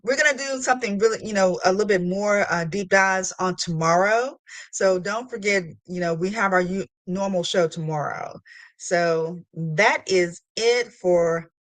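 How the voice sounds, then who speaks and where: A woman's voice: medium pitch, moderate pace, no echo. Female podcast host, mid-range voice, casual and playful interviewer, very dry recording.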